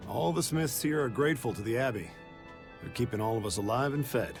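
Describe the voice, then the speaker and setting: A proud, grateful smith from a video game town, voiced by a man. His voice is medium in pitch, with music clearly playing behind it. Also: lively intonation, brisk, lightly acted. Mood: proud, content.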